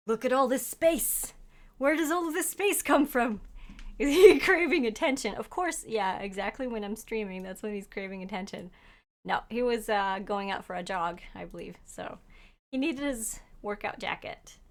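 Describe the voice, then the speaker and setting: A woman's voice: on the high side, unhurried, pauses often. A young woman who speaks Norwegian as her first language chats casually with viewers while playing, reading their messages aloud and laughing often. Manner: chatty and giggly, laughs often; talks to chat while playing. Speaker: game streamer.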